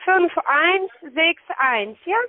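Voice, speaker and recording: A woman with a high voice and a foreign, non-native accent talks slowly over a narrow phone line. She works as a call-centre agent, hesitating as she checks and repeats details. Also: lively intonation. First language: not German. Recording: dull band-limited phone line.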